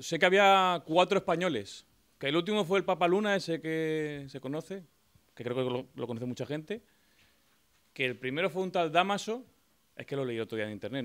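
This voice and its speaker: Clear-voiced man from a casual interview. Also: mid-range voice, a bit bright. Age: 30s. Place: small office, indoors. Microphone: handheld reporter microphone.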